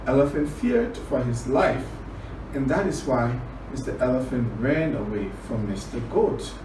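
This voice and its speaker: A man from Liberia telling a children's story and teaching words, deliberate, repeating phrases for emphasis. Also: medium pitch. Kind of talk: children's storytelling and word lesson. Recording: roomy, distant, clearly noisy recording.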